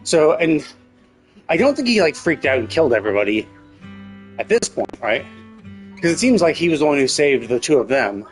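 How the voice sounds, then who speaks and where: A man's voice: on the high side, very animated, normal speed, long pauses, low-quality recording. A man streaming a game, giving casual live commentary in a rambling, repetitive way as he thinks aloud. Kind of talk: casual live commentary, speculating on a game's story. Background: music with plucked guitar.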